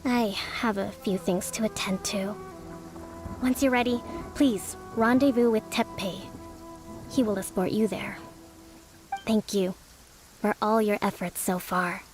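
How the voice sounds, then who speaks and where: An actress playing a composed, earnest young resistance leader in a video game, speaking in a measured, formal and courteous manner. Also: female voice, medium pitch, steady tone, over music, lightly acted.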